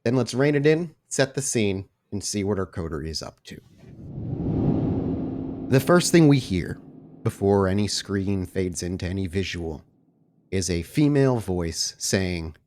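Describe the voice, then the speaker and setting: Low-voiced man hosting a podcast. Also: expressive, a little slow, darkish tone. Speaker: host and game storyteller. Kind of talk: podcast announcements and game narration.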